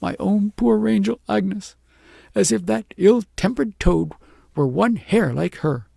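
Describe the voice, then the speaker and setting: Man putting on a shrill scolding shepherdess. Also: takes it slowly, vocal fry, dark tone, husky, no room sound, full character voice. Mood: outraged. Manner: brusque, indignant, bossy. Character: scolding, sharp-tongued shepherdess wife, short-tempered and scornful. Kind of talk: storybook character voice in audiobook.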